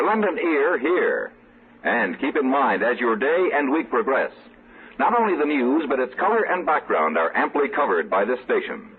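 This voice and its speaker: An American man reading a formal, polished promotional script as a 1950s network radio announcer. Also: mid-range voice. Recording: vintage radio broadcast, muffled, faint noise.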